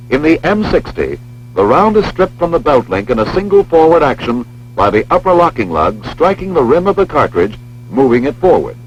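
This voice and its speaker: An American man with a mid-pitched voice delivers formal, precise instructional narration. His intonation moves widely while he explains each step in a measured way. The sound is dull and lo-fi, like an old film track, with a light hum underneath.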